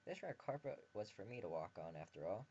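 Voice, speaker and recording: A man's voice: lowish voice, sing-song, a little fast, a little mellow, a little hiss or hum. A man reading a visual novel aloud as a game video host, with joking comments between passages. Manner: reads narration and dialogue in a mostly plain voice, joking asides. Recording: dull lo-fi home recording with faint background ambience.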